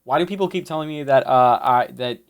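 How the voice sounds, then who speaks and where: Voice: mid-range voice, fairly quick. A co-host chatting casually on a livestream, joking and repeating phrases. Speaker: podcast co-host. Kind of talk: casual stream chat.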